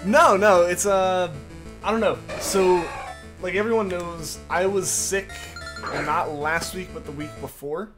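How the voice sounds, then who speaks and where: A man's voice: sits high, fairly even intonation, measured pace. A young man streaming live, chatting casually with viewers in a rambling way full of fillers, with a slight lingering cough. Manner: rambling, filler-heavy, repeats himself. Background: music playing underneath.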